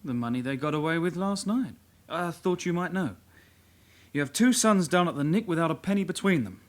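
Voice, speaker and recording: A man's voice: a little high, lively intonation, a little fast, lightly acted. Man playing a polite young English detective, lightish voice. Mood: probing. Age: young adult. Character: calm, polite, persistent young male detective constable. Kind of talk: radio drama acting.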